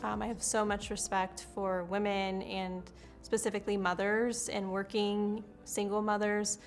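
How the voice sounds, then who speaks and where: A woman's voice: medium pitch, little pitch movement, a little slow. A woman giving an interview testimonial as a scholarship recipient.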